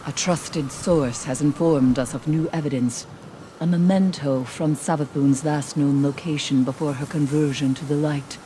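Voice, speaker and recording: An actress playing a proud, composed mentor woman who speaks gravely. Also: low voice, fairly even intonation, normal speed, mellow, a little hiss or hum, close to an everyday voice, poor audio. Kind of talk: video game dialogue.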